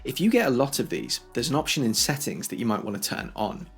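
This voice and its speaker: Man, husky-edged tutorial voice. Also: medium pitch, huge pitch swings, quick pace, faint music behind. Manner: clear step-by-step explaining, conversational. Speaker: tech tutorial host. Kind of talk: instructional how-to narration for video.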